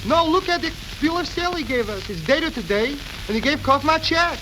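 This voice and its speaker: A man's voice: very high voice, expressive, fairly quick, sharp tone, heavily acted. A man playing a car dealer's fault-finding young son, clipped and dismissive, in a 1930s radio comedy. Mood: alarmed. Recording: crackly, hissy 1930s radio recording.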